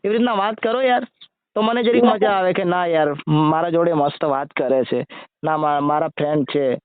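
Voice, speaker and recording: A man's voice: a little high, moderate pace, no room sound. A man with a Gujarati accent chatting casually on a phone call, colloquial, repeating himself and asking questions. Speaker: amateur video creator on a phone call. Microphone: recorded phone call. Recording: muffled lo-fi phone call recording.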